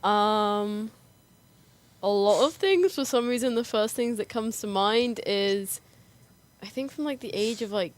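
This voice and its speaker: A woman's voice: medium pitch, unhurried, no room sound. A woman chatting casually as a radio guest, in informal, tentative, pieced-together phrases. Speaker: radio guest and amateur actress. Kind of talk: casual chat.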